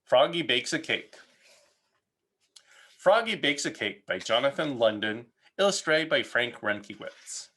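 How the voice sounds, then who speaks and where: A man reading a children's story aloud as an expressive narrator, playful with his words. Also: mid-range voice, a little slow, slightly rough. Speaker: storybook reader.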